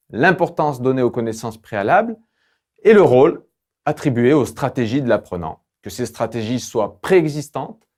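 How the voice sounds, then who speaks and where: A male lecturer with a mid-pitched voice narrates a scripted online lesson. His phrasing is formal and didactic. He moves through the material in a measured, explanatory way. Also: darkish tone.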